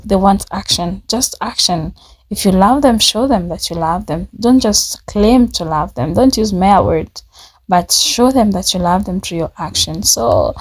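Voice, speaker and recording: A woman's voice: medium pitch, darkish tone. A woman from Africa giving a reflective video commentary, earnest and searching, with some restarts.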